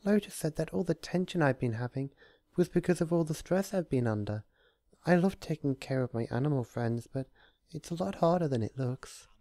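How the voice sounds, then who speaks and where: A gentle, timid female pony from a cartoon story, played by a man. The voice is lifted far above the reader's own, with a sweet, polite manner. It is soft in tone and recorded very dry. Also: on the high side, expressive, normal speed, no room sound, pitched above the performer's own voice. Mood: relieved.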